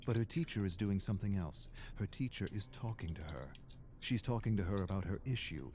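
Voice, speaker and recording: A man performing as a solemn storyteller, narrating a video game's character stories in measured, dramatic phrases. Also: low-pitched, mellow, slight background noise.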